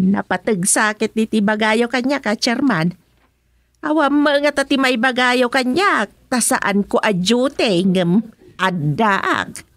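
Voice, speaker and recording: A woman's voice: fairly high pitch, takes it slowly, a bit bright, no echo. A woman with an Ilocano accent playing a village councillor in a comedy radio drama, pleading and defensive.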